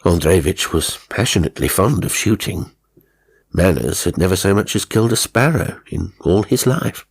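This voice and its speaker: A man's voice: low-pitched, expressive, normal speed, vocal fry, husky. A man narrating a dramatic horror story as an audiobook.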